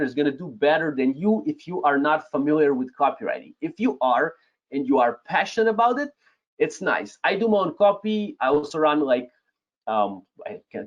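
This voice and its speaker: A Russian-accented man in his thirties leads a live online class, his voice sitting a little high for a man. He keeps checking in with viewers, tags his points with "yes?" and chuckles between them. The webcam sound is a bit dull and lo-fi. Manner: lively, talks to the chat, frequent "yes?" tags and chuckles. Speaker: webinar host, Amazon seller coach. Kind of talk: live webinar teaching. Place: home room, indoors. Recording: slightly dull lo-fi webcam audio.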